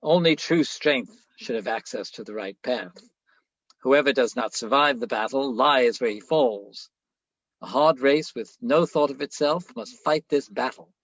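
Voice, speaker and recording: Actor as fanatical rector, formal oratory, radio play, declamatory, medium pitch, dry dull recording. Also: male voice, a little slow, no room sound, natural voice. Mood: fervent, stern. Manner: declamatory, pompous. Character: stern, fanatical Nazi-era university rector giving inaugural speech.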